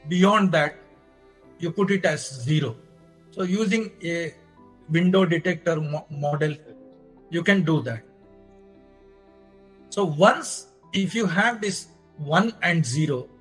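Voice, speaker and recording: An Indian-accented man delivers a technical lecture in a fairly high voice. He speaks slowly and deliberately, laying out each step in short phrases. Faint music sits in the recording. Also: fairly bright tone. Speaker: lecturer presenting own engineering research.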